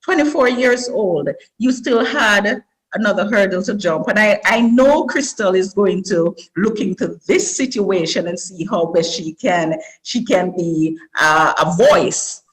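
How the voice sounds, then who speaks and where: A woman's voice: mid-range voice, measured pace, poor audio. Woman host of an interview show, encouraging, affirming tone, talks to listeners. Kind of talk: interview conversation.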